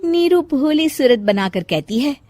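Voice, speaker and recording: A woman's voice: high-pitched, lively intonation, a little slow, darkish tone, faint music behind. A woman storyteller reading scripted narration for a moral bedtime story.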